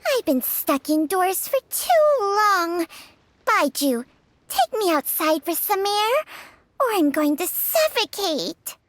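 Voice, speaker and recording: A woman voicing a small, sharp-tongued, scolding female snake companion in a video game, haughty and theatrical. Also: very high-pitched, big pitch swings, slow, dry recording, heavily acted. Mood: bossy, impatient. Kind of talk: scripted video game voice acting.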